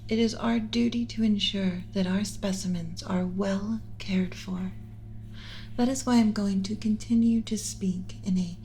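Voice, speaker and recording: A woman voicing a gentle, motherly alien scientist in an ASMR roleplay, speaking in a soothing, measured, instructional, often whispery way. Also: medium pitch, a little hiss or hum, lightly acted, rough recording. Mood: composed, instructive. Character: gentle motherly alien scientist teaching a class about pet human.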